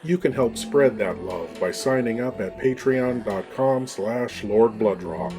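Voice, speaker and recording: A man's voice: medium pitch, animated, a little slow, music in the background. A man announcing a scripted podcast promo in the role of narrator.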